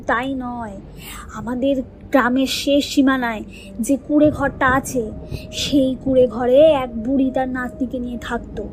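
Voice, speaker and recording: A woman's voice: high-pitched, darkish tone, slight background noise, natural voice, poor audio. A woman voicing a fearful, superstitious village wife in a cartoon, anxious and insistent in her warnings.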